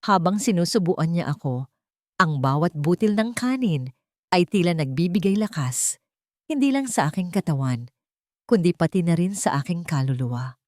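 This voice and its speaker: A woman's voice: on the low side, lively intonation, moderate pace, no echo, clean studio sound. A woman reading a dramatic first-person story as a narrator, in a solemn, emotive storytelling manner.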